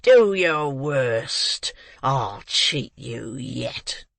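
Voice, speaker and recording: Menacing, desperate ruffian, read by a narrator, slow and low-pitched, close, dry sound. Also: lively intonation, creaky, a bit bright, no room sound, full character voice. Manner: fierce, menacing. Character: fierce, desperate grown man, a murderer. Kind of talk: audiobook reading of a classic novel.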